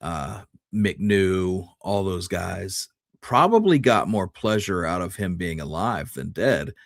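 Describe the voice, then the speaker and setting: A man's voice: sits low, sing-song, slow, vocal fry, no room sound, clean studio sound. A man co-hosting a history podcast, chatting casually and offering his own opinions.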